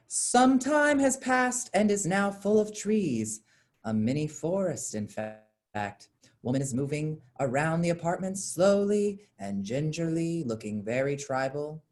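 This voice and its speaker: A woman's voice: low voice, darkish tone. A woman reading stage directions as the narrator of a staged play, in scripted narration.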